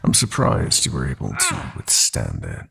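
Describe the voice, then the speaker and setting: A man playing a menacing, gloating god of nightmares in an audio drama, speaking in silky, mocking threats with theatrical slowness. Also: huge pitch swings, sharp tone, exaggerated voice. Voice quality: very deep, gravelly, breathy. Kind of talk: roleplay audio drama acting.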